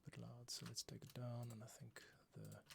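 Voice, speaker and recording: A man's voice: medium pitch, level tone, brisk, vocal fry, a little hiss or hum. A man thinking aloud as he works through composing on a livestream, muttering to himself, with music playing and computer keys clicking. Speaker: composer streaming his process. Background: orchestral music playback, computer keys clicking.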